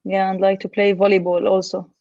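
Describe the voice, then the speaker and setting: A woman's voice: medium pitch, flat delivery. A woman co-hosting an online workshop over a video call, reading out chat messages and greeting participants. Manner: warm greetings, unhurried. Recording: muffled video call audio.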